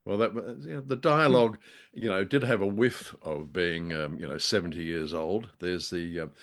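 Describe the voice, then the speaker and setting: A man with a fairly low voice chats as co-host of a show about vintage radio drama. His delivery is casual and halting, with dry wit and a habit of drifting off into asides. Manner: hesitant, wry, digressive. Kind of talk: casual chat, review commentary.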